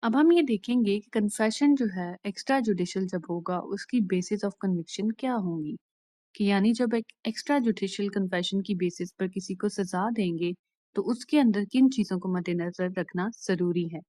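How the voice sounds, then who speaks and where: A woman with a Pakistani accent giving an explanatory law lecture in a deliberate, instructional way, restating each point plainly. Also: medium pitch, warm tone, dry recording. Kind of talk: explanatory lecture mixing Urdu and English.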